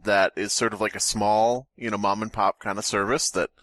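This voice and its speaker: A man's voice: on the low side, little pitch movement, normal speed, a bit bright, dry recording. A man hosting a casual comic podcast, rambling along in a wry, joking way. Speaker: podcast host.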